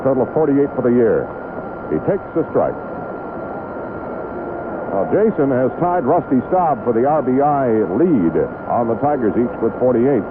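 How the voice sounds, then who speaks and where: A man's voice: mid-range voice, lively intonation, unhurried, pauses often. An American man calling live baseball play-by-play on the radio. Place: outdoor stadium broadcast booth. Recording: noisy tinny vintage 1970s radio broadcast. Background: hissy stadium ambience noise.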